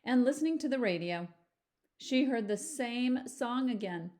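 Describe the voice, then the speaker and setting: A woman reading a picture book aloud like a teacher, animated, with chatty asides and questions to young listeners. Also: a little high, measured pace. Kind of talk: children's storybook read-aloud with chatty asides.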